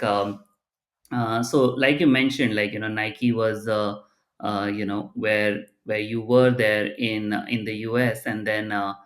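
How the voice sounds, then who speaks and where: A man's voice: lowish voice, echoey room. A man hosting an interview who asks long, hesitant questions full of fillers. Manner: hesitant, many fillers like "you know" and "uh". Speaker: podcast host.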